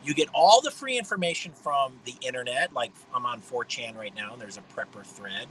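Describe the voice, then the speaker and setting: A man in his fifties with a high voice, hosting a rambling livestream monologue in a blunt, mocking way. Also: animated, a little fast, fairly bright tone, a little hiss or hum, rough recording. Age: 50s. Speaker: livestream host.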